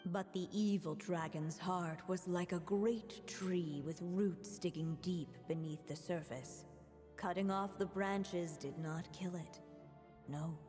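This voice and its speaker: A woman narrating a storybook tale in a game in a slow, measured way. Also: low-pitched, darkish tone, in a large hall.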